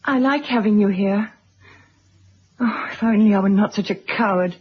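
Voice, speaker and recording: Actress for gentle, anxious gentlewoman, middle-aged. Markedly husky, hesitant, courteous; dull vintage radio-drama sound. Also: mid-range voice, moderate pace, natural voice. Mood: weary, apologetic. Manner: polite, soft-spoken, hesitant. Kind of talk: 1970s radio drama acting. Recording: dull old radio recording.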